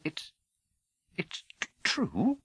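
Prim, high-pitched older witch acted by a man. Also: huge pitch swings, measured pace, fairly bright tone, husky, no echo, full character voice. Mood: stunned. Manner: faltering, exasperated, emphatic.

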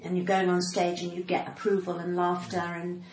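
A woman's voice: lowish voice, completely flat delivery, normal speed, darkish tone. A British woman in her sixties with a cut-glass, well-spoken voice, talking frankly and earnestly as an interview guest. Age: 60s. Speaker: podcast guest, stand-up comedian. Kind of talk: candid conversational interview. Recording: roomy echo, clear background noise.

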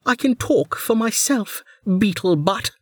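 A woman's voice: a little high, slow pace, no room sound, clean studio sound, voice pushed up. A narrator voicing a blunt, prickly injured young woman who answers in curt grunts.

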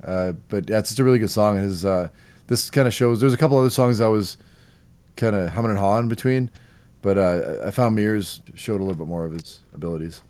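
A man co-hosting a music podcast, presenting a countdown of songs in casual chat, relaxed and laughing now and then. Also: fairly low pitch, fast talker. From Canada. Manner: relaxed, laughing, slight stumbles over words.

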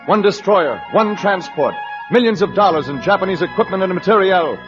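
A man's voice: high-pitched, animated, fairly quick. A man narrating a war drama in stern, emphatic short phrases, in the style of 1940s radio. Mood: solemn. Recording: dull old radio recording with music.

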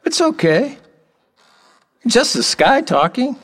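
A man acting in an audio drama as an odd, playful, lonely middle-aged workman, whimsical and halting, with earnest oddball candor. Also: very high voice, very animated, a bit bright, slightly rough, full character voice. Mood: soothing.

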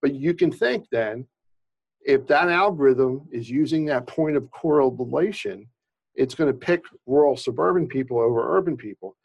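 A man's voice: medium pitch, moderate pace, gravelly, slightly rough, lo-fi sound. Man with a slight stutter, explaining. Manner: many restarts and fillers, explaining with examples. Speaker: employment lawyer, guest. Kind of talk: conversational expert explanation.

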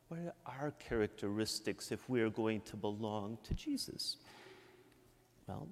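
A Midwestern man gives a conversational sermon at an unhurried pace, often checking in with "right?". His voice carries a long reverb from a church. He preaches as a priest speaking to his congregation. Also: medium pitch. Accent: Midwestern American. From Michigan.

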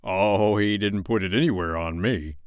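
A man's voice: fairly low pitch, normal speed, no room sound, clean studio sound, close to an everyday voice. A man voicing a blunt, grumbling male plow horse in a children's storybook, matter-of-fact and sure of his own patience.

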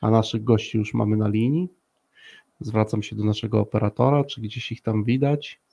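Mężczyzna prowadzący na żywo swobodną rozmowę z gośćmi, gadatliwy, chętnie powtarzający słowa dla podkreślenia. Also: male voice, lowish voice, fairly even intonation, moderate pace, gravelly, dark tone, dull audio. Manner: chatty, repeats phrases for emphasis. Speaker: host of a live talk show with guests. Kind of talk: casual, friendly co-hosted chat.

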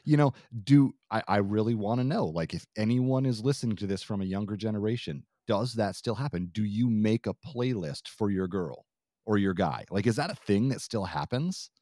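Middle-aged male co-host, lowish voice, informal and musing, chatting casually in a dry recording. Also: lively intonation, moderate pace, mellow, no room sound. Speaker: podcast co-host. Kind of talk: casual chat.

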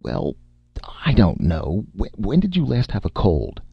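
A man's voice: fairly low pitch, wildly swooping pitch, a little slow, creaky, breathy edge, no echo, muffled recording, faint music behind, pitched above the performer's own voice, full character voice. A man voicing a cowardly, easily panicked man in a story, with hesitant, stammering delivery and shaky bravado. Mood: nervous, hesitant.

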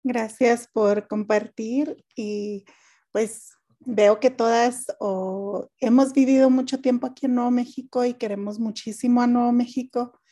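Woman host from Mexico, calm scripted podcast delivery, warm mellow tone, fairly high voice. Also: measured pace. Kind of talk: scripted podcast introduction and moderating.

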